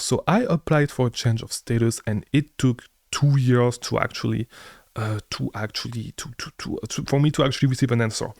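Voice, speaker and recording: A young man from Belgium speaks casually to the camera at a middle pitch, telling a long story. He rambles, restarts sentences and stumbles over repeated words. The sound is dry and close, with no room echo. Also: gravelly, a little mellow. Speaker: online business creator. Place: family house indoors.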